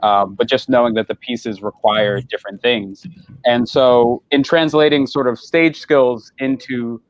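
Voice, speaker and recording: A man, a stage actor, giving a thoughtful interview answer in a measured way, searching for his words. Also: mid-range voice, fairly even intonation, dull audio.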